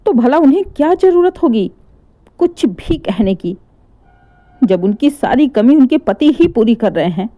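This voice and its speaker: A woman's voice: fairly high pitch, very animated, measured pace, dull audio. A woman voicing a young married daughter who cries and accuses her brother. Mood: tearful. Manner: accusing, crying.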